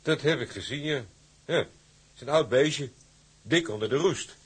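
An actor playing a gruff, plain-spoken sailor in a radio drama. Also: male voice, medium pitch, moderate pace. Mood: dry, game.

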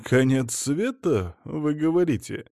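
A man voicing a frantic older mother-in-law who cries out loudly and shrilly. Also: animated, takes it slowly, no echo, clean studio sound. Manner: loud, shrill and alarmed.

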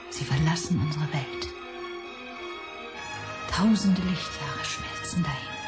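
An actor voicing a solemn inner monologue in a radio play, slow and often whispered. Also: medium pitch, natural voice. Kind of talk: poetic radio drama monologue. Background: music.

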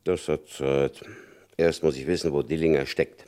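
An actor playing a stern middle-aged FBI agent who gives clipped, commanding orders in an old radio crime play. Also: male voice, very deep voice, steady tone, moderate pace, dark tone, clear, resonant tone. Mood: grim, determined.

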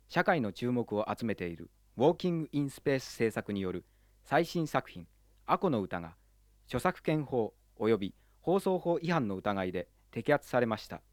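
A man's voice: medium pitch, dry recording, studio quality, natural voice. A man playing a formal, solemn announcer for news bulletins and commercials in an amateur radio drama.

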